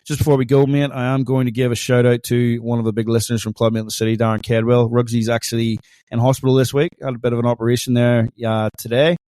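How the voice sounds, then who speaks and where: A man's voice: medium pitch, flat delivery, quick pace, hardly any pauses, dark tone, clear, resonant tone, dry recording. A man with a thick accent co-hosting a casual podcast, chatty and teasing, laughing often. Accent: thick non-Australian accent. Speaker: podcast co-host.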